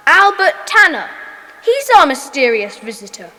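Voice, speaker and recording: Young boy gang leader, commanding, high bright voice, echoing hall sound, children's audio drama. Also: sing-song, a little slow, exaggerated voice. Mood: excited, commanding. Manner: decisive, commanding, schoolchild diction. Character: bossy, eager boy leader of a children's secret club. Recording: reverberant, hall-like echo.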